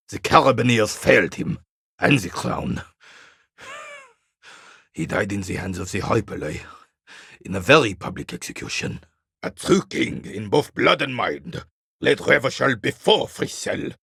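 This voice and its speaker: Gravelly, bitter old royalist veteran. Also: male voice, medium pitch, fairly bright tone, dry recording, studio quality, exaggerated voice. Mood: bitter regret. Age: 80s. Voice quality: gravelly, rasping, weathered. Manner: curmudgeonly, haughty, formal military speech. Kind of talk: video game character dialogue.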